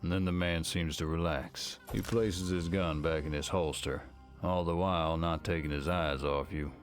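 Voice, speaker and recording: A man's voice: deep voice, steady tone, moderate pace. A man reading scripted second-person narration for a visual novel story game. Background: faint music.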